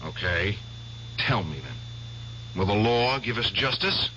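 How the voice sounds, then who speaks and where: An actor playing a bitter, obsessed and worn-out war veteran in a radio drama, speaking in an intense, halting way. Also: male voice, fairly low pitch, expressive, bright, forceful tone, heavily acted. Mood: bitter, demanding. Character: bitter, obsessed, exhausted war veteran piano player seeking justice. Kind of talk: 1970s radio drama acting. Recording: dull old radio recording, faint hum.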